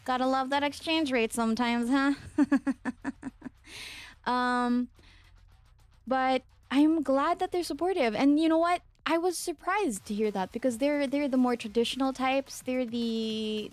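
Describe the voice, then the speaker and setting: A young woman from the Philippines, a streamer chatting casually with her viewers and answering their questions, with a low voice from a lingering sore throat. She rambles with plenty of fillers and laughs. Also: measured pace. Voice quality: mezzo-soprano singing voice. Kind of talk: casual chat with chat readers.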